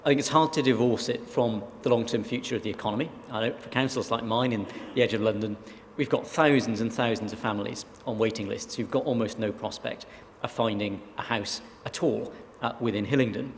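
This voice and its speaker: A man from South Wales, a council leader, giving measured, reasoned answers on a panel. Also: mid-range voice. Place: conference hall. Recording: reverberant hall, faint background noise.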